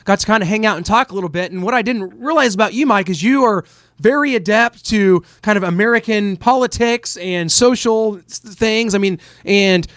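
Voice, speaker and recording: A man's voice: sits high, normal speed, fairly bright tone, dry recording, clean studio sound. A man hosting a podcast interview, chatty and chummy, with lots of "uh" fillers. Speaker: podcast host. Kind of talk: casual interview.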